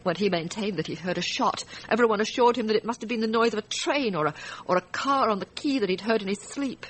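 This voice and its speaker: A woman playing a frightened, distressed wife in a radio drama, recounting her story in a halting, hesitant way. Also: mid-range voice, fairly bright tone, close to an everyday voice. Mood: weary, bitter. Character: frightened, distressed wife testifying about a night shooting.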